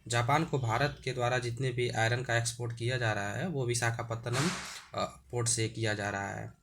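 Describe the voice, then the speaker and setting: A man with a sore throat, explaining an exam-prep lesson with many hesitations and frequent throat clearing. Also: mid-range voice, fairly even intonation, moderate pace, background noise.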